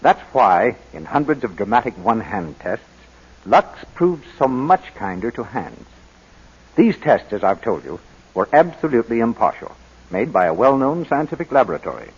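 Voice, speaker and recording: Male 1940s radio announcer, American accent, very animated pitch, slightly husky, measured sales pitch, muffled vintage broadcast. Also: long reverb tail.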